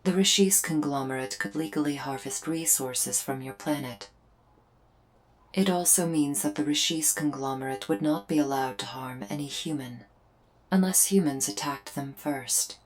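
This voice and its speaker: A woman voicing a solemn female alien invader in a scripted ASMR roleplay, speaking in measured, formal, deliberate phrases with pauses. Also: low voice, slight background noise, close to an everyday voice. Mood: solemn, explanatory. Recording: music behind the voice. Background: music.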